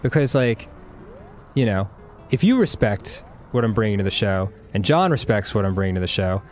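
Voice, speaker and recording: A man's voice: fairly low pitch, expressive, normal speed, darkish tone, faint music behind. A man in his thirties, first language Hebrew, chatting casually as a co-host over gameplay, rambling with many fillers. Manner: rambling, frequent fillers and laughs.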